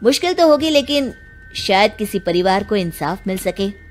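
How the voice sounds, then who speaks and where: A woman's voice: medium pitch, expressive, moderate pace, soft background music, close to an everyday voice. Woman as an earnest, respectful police inspector. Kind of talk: Hindi animated moral story dialogue.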